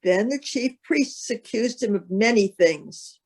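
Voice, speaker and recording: A woman narrating a solemn Bible reading as a scripted piece, with measured, careful phrasing. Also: a little high, expressive, darkish tone, husky.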